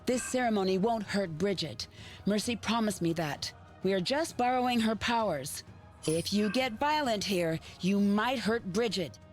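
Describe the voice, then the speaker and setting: A woman's voice: medium pitch, animated, measured pace, natural voice. A woman voicing a cold, taunting salt sister. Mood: insistent. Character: young woman, scheming ceremony sister, defiant. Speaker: game character. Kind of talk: game cutscene voice acting. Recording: faint music behind.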